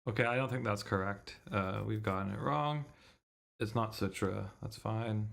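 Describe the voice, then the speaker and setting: A man's voice: fairly low pitch, normal speed, vocal fry, fairly bright tone. A man doing casual livestream commentary on a visual novel, thinking aloud in a muttering way and swearing casually. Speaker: streamer reading a visual novel.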